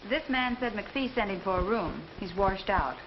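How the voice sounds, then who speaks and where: A cool, wry young American woman played by an actress, with a mid-pitched but very expressive voice. She speaks in 1940s film style on a dull, slightly hissy soundtrack. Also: moderate pace, close to an everyday voice. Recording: dull vintage film soundtrack, some hiss.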